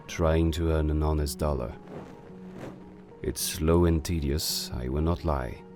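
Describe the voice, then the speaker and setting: A man playing a silver-tongued, gentlemanly con artist of living fire who sprinkles in French endearments, in a romantic audio drama. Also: rumbling low.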